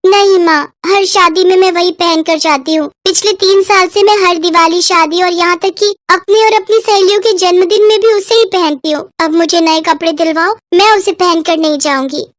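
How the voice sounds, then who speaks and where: A woman's voice: extremely high pitch, steady tone, normal speed, few pauses, no room sound, clean studio sound. A woman voicing a sulky six-year-old girl. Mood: pleading. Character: little girl Renu, six, sulky and eager.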